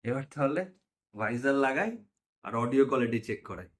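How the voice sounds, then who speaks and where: A man's voice: medium pitch, brisk. A man narrating a hands-on motorcycle vlog tutorial casually, talking viewers through each step in an informal way. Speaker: motorcycle vlogger.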